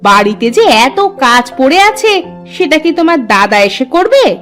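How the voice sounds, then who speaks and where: Woman voicing a high-voiced dramatic village wife. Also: big pitch swings, a little slow, a bit bright, soft background music, full character voice. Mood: nagging. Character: quarrelsome, sharp-tongued farmer's wife, later frail. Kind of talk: cartoon story character acting.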